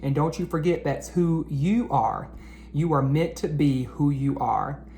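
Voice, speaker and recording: A man reading aloud to children like an educator, with an expressive, encouraging manner that speaks straight to them. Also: fairly high pitch, unhurried, gravelly. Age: adult. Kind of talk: animated children's read-aloud. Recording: roomy echo, faint background noise.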